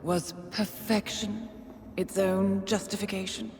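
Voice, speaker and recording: A woman playing an imperious, coldly contemptuous mastermind in a video game, deliberate and mocking. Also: mid-range voice, level tone, gravelly, darkish tone. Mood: cold taunting. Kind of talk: video game cutscene acting. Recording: long cavernous reverb, ambient noise.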